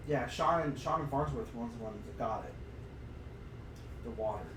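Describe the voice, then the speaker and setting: A man co-hosting a podcast in informal, exclamatory chat, thinking out loud as he goes. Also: medium pitch, normal speed. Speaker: podcast co-host. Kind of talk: casual chat, reasoning aloud and reading story passages. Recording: roomy, noisy livestream, distant from mic.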